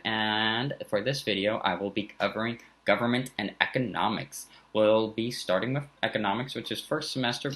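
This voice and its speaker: A man in his thirties, a high school teacher from the Bay Area with a slight German accent, giving an earnest recorded welcome full of fillers and restarts. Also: a bit bright. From San Francisco Bay Area. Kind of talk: recorded back-to-school talk to parents.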